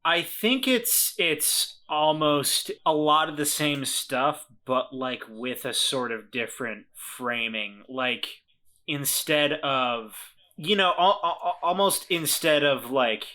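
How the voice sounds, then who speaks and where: Bright-voiced man, halting and casual. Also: on the high side. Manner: hesitant, repeats words while thinking aloud. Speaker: player in a tabletop role-playing game. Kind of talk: casual improvised game chat.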